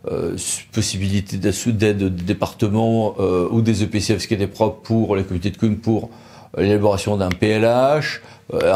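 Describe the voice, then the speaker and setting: A man's voice: lowish voice, vocal fry, warm tone, a little hiss or hum. A man hosting a legal news programme, going through points in long hesitant lists and putting questions to guests. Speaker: host and interviewer. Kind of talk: legal news briefing and interview.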